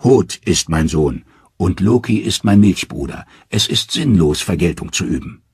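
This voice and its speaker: A man's voice: deep voice, expressive, moderate pace, darkish tone, breathy edge, dry recording, studio quality, heavily acted. A man voicing the old, weary father of the gods. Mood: stern.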